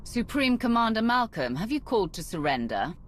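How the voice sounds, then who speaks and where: A woman's voice: on the high side, big pitch swings, a little fast, a little hiss or hum. A woman playing a ruthless military commander in a video game, speaking in a commanding, dryly sarcastic way. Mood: sardonic. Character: ruthless, sardonic female tyrant commander. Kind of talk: dramatic video game acting.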